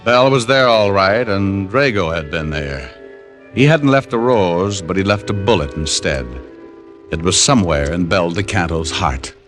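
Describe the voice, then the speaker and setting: Give the low voice of a wisecracking private detective in an old radio drama. He is cool-headed and hardboiled, with a dry wit. Music plays clearly in the vintage recording. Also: expressive, unhurried, fairly bright tone. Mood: grim, tense. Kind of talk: 1940s-50s radio acting, first-person narration.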